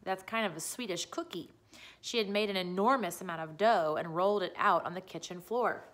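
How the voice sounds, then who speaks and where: A woman's voice: on the low side, a little fast, a bit bright. A woman reading a children's book aloud as a storyteller, narrating the story.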